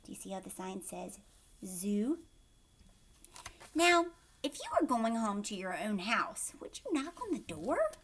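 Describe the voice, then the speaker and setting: A woman's voice: mid-range voice, sing-song, unhurried, long pauses, a bit bright, a little hiss or hum. A woman hosting a children's read-aloud, narrating a storybook expressively and breaking off to question her young listeners in an emphatic way.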